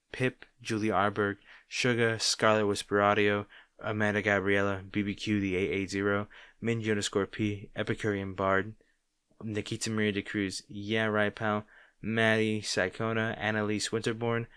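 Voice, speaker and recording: A man with a low voice speaks as a channel host in a casual outro, reading names from a list. The recording is very dry, with little room sound. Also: level tone, moderate pace, a bit bright, smooth, dry recording. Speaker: audio roleplay channel host.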